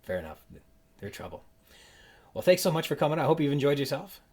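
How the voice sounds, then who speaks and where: A man hosting a casual podcast interview, talking in a halting, conversational way. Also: fairly high pitch, very animated, darkish tone, breathy edge. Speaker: podcast host.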